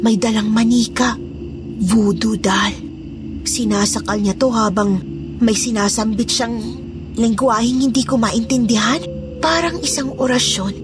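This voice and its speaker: A woman's voice: on the high side, a little slow, long pauses, music in the background, lightly acted. A woman playing a fearful, loyal housemaid in a Tagalog horror audio drama, confiding and deferential as she tells a suspenseful story. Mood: grave, suspenseful. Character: fearful, loyal housemaid revealing dark secrets.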